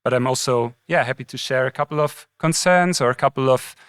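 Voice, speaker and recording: A man's voice: mid-range voice, brisk, fairly bright tone, clean tone, no room sound, clean studio sound. A man in his thirties from Germany with a German accent, a guest chatting in a friendly, thoughtful way on a podcast. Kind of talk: casual conversation.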